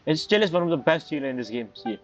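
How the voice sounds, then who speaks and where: A man's voice: on the high side, expressive, fast. A man giving casual let's play commentary as a gamer reacting to the game. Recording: dull lo-fi mic over game music.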